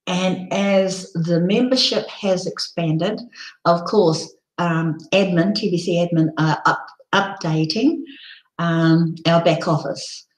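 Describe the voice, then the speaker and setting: A woman with a croaky, hoarse voice, hosting a casual live broadcast and rambling with many ums. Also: lowish voice, slow pace, roomy sound, poor audio. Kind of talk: casual live-stream chat.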